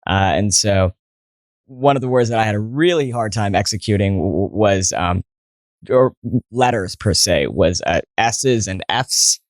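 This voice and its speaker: Young man with a low voice, dry sound. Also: expressive, a little slow, dry recording. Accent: American. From born in Saudi Arabia, raised partly in New Jersey. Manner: many fillers and restarts, storytelling. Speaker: guest. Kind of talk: casual interview talk.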